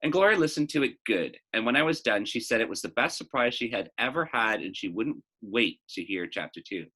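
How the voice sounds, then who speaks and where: A man reading a children's novel aloud as expressive narration, now and then adding a short teaching aside. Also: medium pitch, fairly quick, breathy edge.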